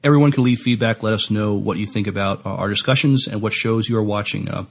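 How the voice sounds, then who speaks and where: A man's voice: on the low side, fast talker, gravelly. A man co-hosting a podcast in a casual, chatty style. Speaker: podcast co-host. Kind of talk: casual chat.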